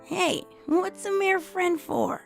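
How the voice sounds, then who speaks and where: A woman voicing a confident, protective young female pegasus pony in a cartoon fan fiction reading, with a raspy voice and reassuring manner. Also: very high voice, animated, slow, voice pushed up, exaggerated voice. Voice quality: raspy, creaky. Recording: music under the voice.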